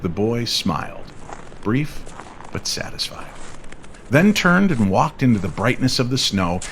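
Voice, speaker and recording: A man's voice: mid-range voice, big pitch swings, unhurried, a little hiss or hum, poor audio. Man narrating an old-time radio story. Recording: faint music under the voice. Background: soft music bed.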